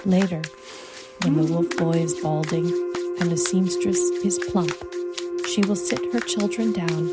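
A woman reading a literary short story as scripted narration. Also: lowish voice. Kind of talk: literary audio fiction narration. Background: music under the reading.

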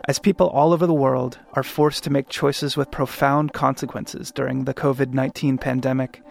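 A man's voice: mid-range voice, little pitch movement, moderate pace, gravelly, a little mellow. A man hosting a podcast, reading a scripted introduction. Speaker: podcast host.

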